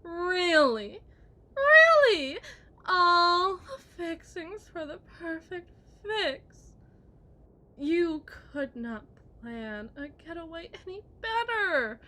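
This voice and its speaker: A possessive, unhinged kidnapper wife, acted by a woman in a theatrical ASMR roleplay. Her voice is high and sharp, and she draws her words out slowly as she mocks and pleads, swinging between hushed and shrill. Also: very animated, heavily acted. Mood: desperate, mocking.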